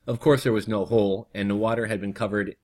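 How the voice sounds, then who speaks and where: A hoarse young man who reads an article aloud on his vlog and drifts into loose, casual chatter full of fillers. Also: fairly low pitch, steady tone, fast talker, a little mellow. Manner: reads steadily, then chats loosely with fillers. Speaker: vlogger.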